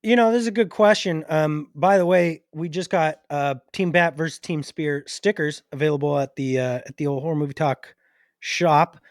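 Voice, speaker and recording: A man with a fairly high voice talks as a podcast co-host. His delivery is casual and halting as he works through his thoughts aloud, and the sound is dry and close. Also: no room sound.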